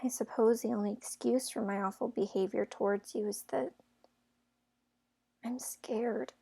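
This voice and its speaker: A woman's voice: a little high, steady tone, unhurried, vocal fry, mellow, slightly rough, exaggerated voice. A young woman playing a guarded but feisty and sincere young heroine in a fairytale audio drama. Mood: apologetic, vulnerable.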